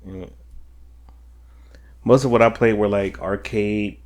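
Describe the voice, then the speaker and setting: A man's voice: lowish voice, fairly even intonation, a little slow. A young man chatting on a podcast, rambling and hesitant. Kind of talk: casual chat.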